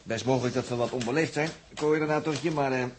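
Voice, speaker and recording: An actor with a Netherlands Dutch accent playing a plain-spoken, hesitant spaceship crewman in 1960s radio drama, colloquial and halting. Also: medium pitch, natural voice. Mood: weary, dry. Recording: noisy old radio recording.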